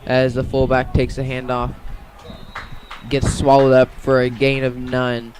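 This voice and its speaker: A man's voice: mid-range voice, completely flat delivery, measured pace, darkish tone, a little hiss or hum. An American man doing live radio football play-by-play, stopping now and then to correct himself. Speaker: play-by-play commentator.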